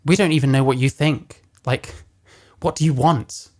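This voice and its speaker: A man's voice: fairly even intonation, moderate pace, darkish tone. Blunt, swearing male commentator. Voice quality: deeper voice. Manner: blunt, profane, ranting. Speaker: commentary host. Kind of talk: casual reaction commentary.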